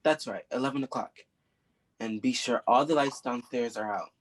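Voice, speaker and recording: Man playing a cold, businesslike criminal go-between, mid-pitched. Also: moderate pace, close to an everyday voice. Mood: coldly businesslike. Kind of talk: 1940s radio drama acting.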